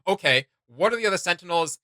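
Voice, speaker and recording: Bright, forceful man chatting casually. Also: sits high, animated, quick pace, no echo. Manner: rambling, enthusiastic, playful sound words. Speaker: reaction video host. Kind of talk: casual fan chat.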